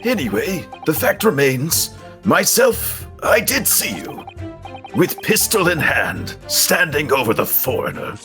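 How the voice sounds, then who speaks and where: A man as a flamboyant, high, wildly animated witness. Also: a little slow, over music. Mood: accusing. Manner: florid, theatrical phrasing. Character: haughty, flamboyant male witness, grandiose and florid. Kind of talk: live comedic fan dub of a video game.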